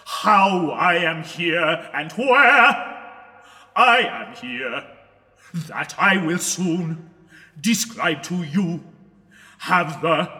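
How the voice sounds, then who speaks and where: A man performing a solemn composer's letter in a theatrical, deliberate, declamatory and partly sung manner. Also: a little high, sharp tone, full character voice. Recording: reverberant hall.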